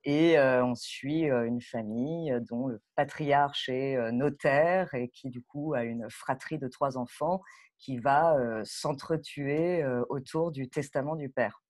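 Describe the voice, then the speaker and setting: A woman speaking as a guest director on a live panel, explaining in a halting way with pauses mid-sentence. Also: rumbling low, a little mellow.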